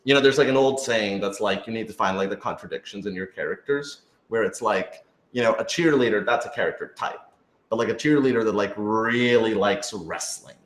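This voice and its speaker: Low-voiced man in an echoey room. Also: fairly quick. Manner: rambling, thinking aloud. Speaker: writer and producer of an audio drama. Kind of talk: casual Q&A panel chat. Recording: roomy, echoey room sound.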